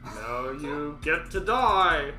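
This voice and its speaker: A man's voice: a little high, sing-song, very slow, heavily acted. A man voicing a callous, smug male mob hitman with a folksy drawl in an amateur table reading. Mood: smug menace. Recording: roomy echo with music behind.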